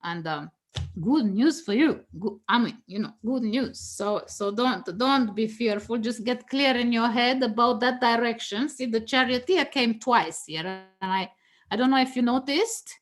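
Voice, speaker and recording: A woman's voice: a little high, measured pace. A woman whose first language is Danish, a guest tarot reader talking casually and teaching, laughing often and restarting her phrases with many fillers.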